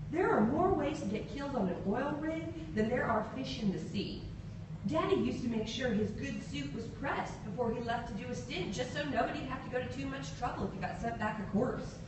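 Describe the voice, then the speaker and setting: A woman reads a short story aloud to a room in a theatrical, animated way. Her voice is fairly high and swings widely in pitch. It is picked up from afar in a very echoey space. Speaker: reader at a literary event. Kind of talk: dramatic live reading of a short story in character. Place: indoor hall at a podium, audience present. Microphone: far camera. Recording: echoey room from far off, noisy.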